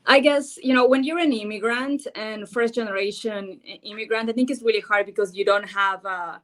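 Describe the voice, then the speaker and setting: A woman's voice: mid-range voice, steady tone, brisk, fairly bright tone. A woman from Ecuador with an Ecuadorian Spanish accent, a news anchor giving earnest, unscripted interview answers full of fillers. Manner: earnest, thinking aloud with many fillers.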